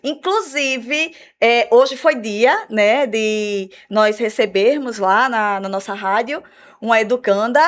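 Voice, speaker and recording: A woman with a Brazilian Portuguese accent, an English teacher explaining her school's program in a radio interview, measured and full of "né?" tags. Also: a little high. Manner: measured, explanatory, repeats words with "né?" fillers.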